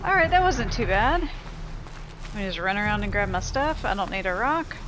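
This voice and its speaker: A woman's voice: mid-range voice, lively intonation, normal speed. Woman muttering through noisy gameplay commentary. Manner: mutters to herself, swears casually. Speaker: video game player recording a playthrough.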